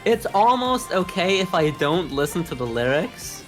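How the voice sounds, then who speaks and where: A man's voice: high voice, very animated, normal speed, faint music behind. A man with a sarcastic, slangy way of talking, chatting and joking as a podcast co-host. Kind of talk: casual, joking chat and reactions.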